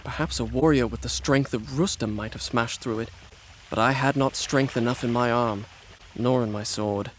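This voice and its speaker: A man's voice: mid-range voice, normal speed, a little hiss or hum, close to an everyday voice. An actor playing a proud, sardonic young Persian prince, telling his adventure in eloquent, formal, dramatic game dialogue. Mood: solemn storytelling. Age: young adult. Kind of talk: dramatic video game voice acting and storytelling. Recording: music behind.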